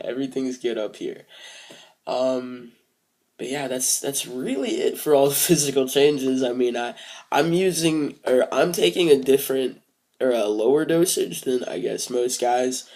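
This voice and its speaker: A young man recording a casual video diary, speaking hesitantly with many fillers and restarts, soft and reflective. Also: medium pitch. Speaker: vlogger.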